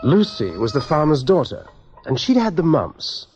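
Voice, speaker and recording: A man's voice: on the high side, sing-song, moderate pace, music in the background. A man narrating a radio drama as a storyteller, with vivid, suspenseful delivery. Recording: dull 1980s radio recording.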